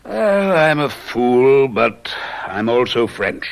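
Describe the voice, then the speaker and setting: Radio mystery casting: genial, shrewd amateur sleuth, theatrical and urbane, widely swinging intonation. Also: male voice, mid-range voice, very animated, slow, creaky, exaggerated voice, low-quality recording. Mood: worldly, reluctant. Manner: urbane, theatrical, amused delivery. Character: shrewd, genial, self-assured French amateur detective gentleman. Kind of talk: radio mystery drama acting.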